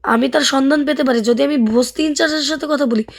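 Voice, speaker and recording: A Bangladeshi woman hosts casual gameplay commentary in a high voice with a crackly edge. She talks chattily, thinking aloud and tossing out questions as she plays along with the roleplay. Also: fast. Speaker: gaming video host.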